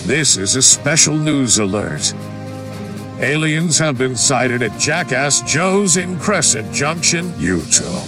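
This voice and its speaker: A man's voice: medium pitch, big pitch swings, slow pace. Guy doing fake breaking news ad, big swoopy urgent read, music behind. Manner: urgent, dramatic newscaster delivery. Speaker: ad announcer. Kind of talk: mock news alert radio ad.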